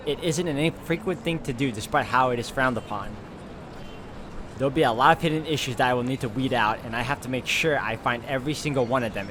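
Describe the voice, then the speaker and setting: A man hosting a game walkthrough, reading story narration aloud in an expressive, conversational way with casual asides. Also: mid-range voice, background noise. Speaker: video game walkthrough host.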